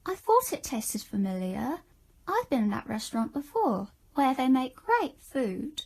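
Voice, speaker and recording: Woman acting a slow, lilting flirtatious society lady. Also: sits high, big pitch swings, darkish tone, heavily acted. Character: flirtatious society lady guest, fond of a drink. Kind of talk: amateur audio drama acting.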